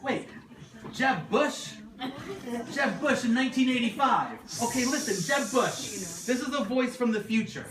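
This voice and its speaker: Man puppeteering a high-pitched, excitable young time traveler. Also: music in the background. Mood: excited, comic. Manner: flustered, halting, repeats himself. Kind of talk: amateur comic puppet show. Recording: echoey distant live recording, very noisy.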